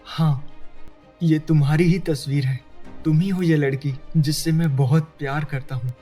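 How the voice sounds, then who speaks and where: A man's voice: fairly high pitch, steady tone, normal speed, dark tone, soft background music, lightly acted. Earnest, lovestruck young man played by an actor. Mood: tender, earnest. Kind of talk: animated love-story voice acting.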